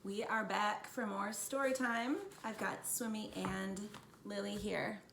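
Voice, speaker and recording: A woman hosting a children's storytime, reading aloud expressively and deliberately, with casual asides. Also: medium pitch, fairly even intonation, vocal fry. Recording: roomy, distant mic, faint background noise.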